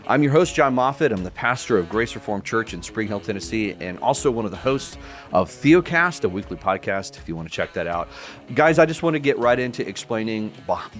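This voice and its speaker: Earnest pastor hosting a podcast. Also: male voice, mid-range voice. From United States. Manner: earnest, direct, with frequent restarts and repeated words. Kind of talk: earnest solo podcast address. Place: small studio set indoors. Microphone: large studio mic in front of mouth.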